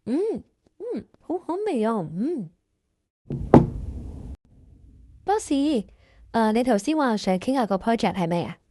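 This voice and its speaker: A woman's voice: a little high, sing-song, normal speed, very noisy. A woman playing an eager, anxious junior employee who also presents a comedic workplace radio drama.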